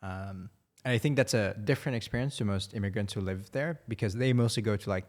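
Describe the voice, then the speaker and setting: A young man from Saudi Arabia, who grew up speaking both Arabic and English, with a somewhat low voice. He talks as a podcast guest in a relaxed, easygoing way, often pausing on "um" as he gathers his thoughts. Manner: hesitant, lots of ums, easygoing. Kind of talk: casual chat.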